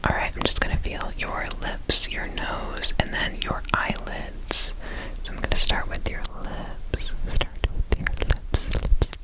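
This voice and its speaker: Someone whispering softly and breathily in an ASMR roleplay, speaking gently to the listener as an examiner and often repeating words, close to the microphone. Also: a little slow, rough recording.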